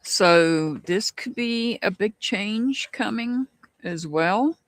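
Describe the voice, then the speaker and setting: This tarot reader has a Southern US accent and talks in an unhurried, drawn-out way, often stopping mid-thought to start over. The voice sounds dry and close, with no room echo, as the reader chats through a live-stream reading. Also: mid-range voice, lively intonation, fairly bright tone. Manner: slow, musing, restarts often.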